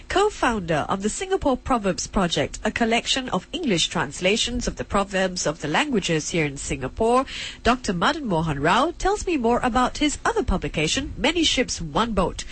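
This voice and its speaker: A woman's voice: on the high side, a bit bright, breathy edge, low-quality recording. A woman radio host reading a polished scripted introduction and sign-off. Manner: polished, announcing.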